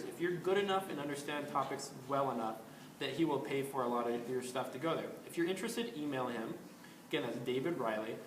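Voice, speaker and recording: A man's voice: a little high, fairly bright tone. A man hosting an astrology video, talking in a casual, rambling way with frequent ums and restarts. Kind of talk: casual spoken commentary. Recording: echoey room with faint ambient noise.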